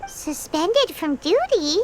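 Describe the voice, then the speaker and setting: Tiny, very high-pitched childlike fairy. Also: female voice, very animated, takes it slowly, darkish tone, slight background noise, exaggerated voice. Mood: curious. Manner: childlike, exclamatory. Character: small, chirpy, childlike fairy companion, curious. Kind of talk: video game voice acting. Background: background game music.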